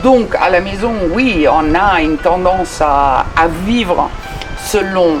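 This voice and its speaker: A woman's voice: fairly low pitch, slow pace, music in the background. A middle-aged woman being interviewed, talking conversationally with many hesitations and restarts. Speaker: interview guest.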